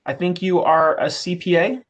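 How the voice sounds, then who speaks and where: A man's voice: high voice, moderate pace. Chatty man hosting an interview. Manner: friendly, chatty, reads out background details. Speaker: interviewer, council host. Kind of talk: casual interview chat.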